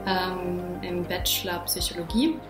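A woman's voice: fairly low pitch, fairly even intonation, measured pace, over music. A woman of thirty-one, a student talking casually to camera about herself. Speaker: student and researcher. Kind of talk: casual self-introduction to camera. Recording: echoey room.

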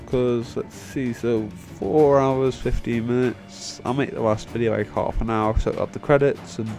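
A young man gives casual, self-deprecating commentary on a game, wandering from thought to thought. His voice is mellow and fried at the edges, tired from hours of talking. Music plays faintly behind him. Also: mid-range voice, fairly even intonation, normal speed. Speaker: video game let's player. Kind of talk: casual rambling gameplay commentary. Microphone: microphone, voice-over on game video. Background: game end-credits music.

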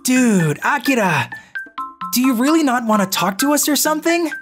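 A woman voicing a blunt, brash young schoolboy classmate in an animated manga story. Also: sits high, normal speed, music in the background.

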